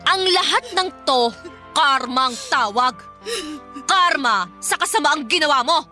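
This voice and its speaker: Bitter, high-pitched Filipino daughter. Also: female voice, animated, measured pace, sharp tone, faint music behind, exaggerated voice. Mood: scornful, taunting. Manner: confrontational, scornful, emotionally charged. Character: bitter, defiant daughter wronged by her father. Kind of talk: Filipino radio drama acting.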